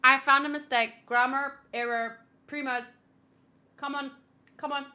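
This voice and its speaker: A woman's voice: high voice, steady tone, a little slow, pushed and bright. A woman streaming a game with casual live reactions, playful, gushing and sweary as she rambles along.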